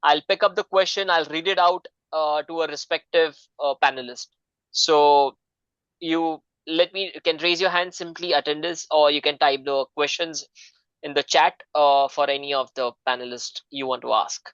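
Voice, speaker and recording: A man's voice: a little high, fairly even intonation, normal speed, fairly bright tone, clear, resonant tone, dry recording, highs cut off. Hesitant male seminar host. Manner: polite, hesitant with many fillers. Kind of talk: moderating an online seminar. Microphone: video call.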